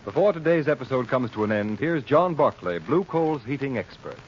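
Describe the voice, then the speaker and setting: Fast talking 30s radio announcer guy, formal, muffled n a bit hissy. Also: mid-range voice, lively intonation, over music. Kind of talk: scripted 1930s radio announcing. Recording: muffled, noisy 1930s radio recording.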